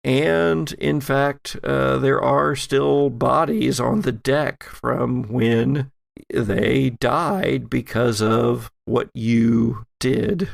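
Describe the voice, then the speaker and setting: A man narrating a tabletop audio drama as game master, in a measured, descriptive way. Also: medium pitch, gravelly, no echo, clean studio sound.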